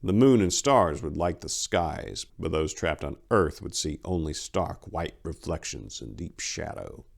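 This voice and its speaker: A man hosting and narrating stories in a dramatic, deliberate, theatrical scripted style. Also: a bass voice, lively intonation, gravelly. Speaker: channel host and story narrator.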